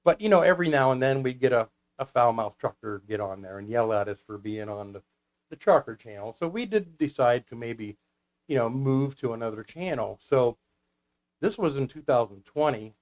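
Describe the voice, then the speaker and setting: A man's voice: medium pitch, animated, normal speed, darkish tone. An American man chatting casually as an interview guest, telling stories in an anecdotal, conversational way. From United States. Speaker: guest, CB radio club founder.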